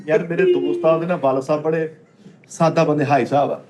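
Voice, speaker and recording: Rapid-fire higher-voiced Pakistani Punjabi man. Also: fairly even intonation, a crowd in the background. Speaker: comedy show panellist. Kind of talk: animated comic storytelling in casual chat.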